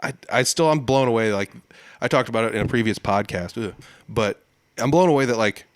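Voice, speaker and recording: A man's voice: mid-range voice, brisk, no room sound. A man hosting a podcast, chatting in an easygoing, self-deprecating way. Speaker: podcast host. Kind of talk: casual chat.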